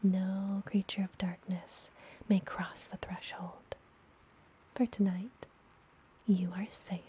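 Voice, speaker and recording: A woman playing a friendly apothecary witch in a fantasy ASMR roleplay, speaking gently in a breathy, soothing whisper. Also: medium pitch, level tone, slight background noise, natural voice. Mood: reassuring. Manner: slow, gentle, soothing delivery. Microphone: close ASMR microphone.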